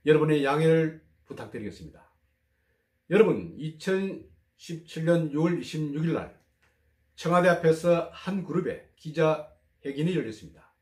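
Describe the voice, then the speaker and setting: A man who is hoarse from a cold, hosting a political commentary show and talking in a measured, emphatic way, full of rhetorical questions. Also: fairly high pitch, lively intonation, a little mellow, echoey room.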